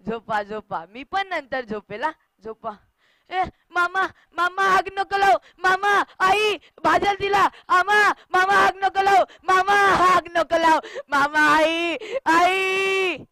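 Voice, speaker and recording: A woman's voice: high voice, animated, unhurried. A woman playing an innocent five-year-old boy in a stage monologue, with a childish lisp and whining, repetitive phrases. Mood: frantic, wailing.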